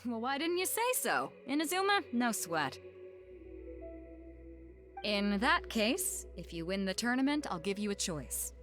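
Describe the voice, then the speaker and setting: A woman's voice: fairly high pitch, big pitch swings, a little slow, pauses often, a bit bright. A woman playing a bold, hearty female ship captain in a video game, breezy and persuasive. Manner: breezy, confident. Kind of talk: video game character dialogue. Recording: faint music behind the voice.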